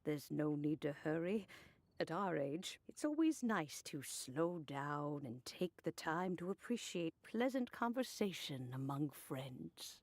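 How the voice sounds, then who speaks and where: A woman voicing a gentle, elderly female immortal who speaks in a gracious, unhurried, courteous way. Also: sits low, expressive, exaggerated voice. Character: gentle elderly female adeptus, kind hostess.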